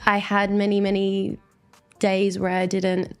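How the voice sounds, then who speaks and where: A woman's voice: medium pitch, monotone, measured pace, clean tone, soft background music. A woman in her twenties with an Australian accent, chatting as an interview guest in an upbeat, reflective way. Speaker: guest dancer. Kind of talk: casual interview chat.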